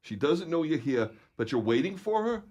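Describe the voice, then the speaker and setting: An actor playing an easygoing police officer in an old-time radio comedy, calm and wry. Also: male voice, on the high side, normal speed.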